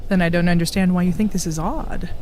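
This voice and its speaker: A woman's voice: lowish voice, fairly even intonation, fast, darkish tone, a little hiss or hum, close to an everyday voice. A woman playing a level-headed, inquiring companion in an audio drama, plain and to the point. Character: level-headed, questioning female companion to a time traveller.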